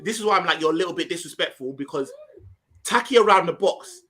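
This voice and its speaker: A man's voice: high-pitched, expressive, fast, sharp tone. A young man, chatting animatedly about football as a podcast co-host, full of slang and repeating himself for emphasis. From Ghanaian heritage. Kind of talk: casual football chat.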